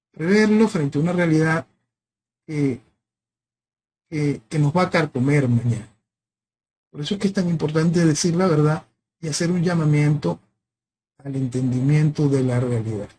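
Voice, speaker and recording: A man with a Venezuelan accent giving an impassioned political monologue, piling up rhetorical questions and swinging between loud peaks and quieter drops. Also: on the high side, slight background noise. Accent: Venezuelan Spanish. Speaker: political commentator.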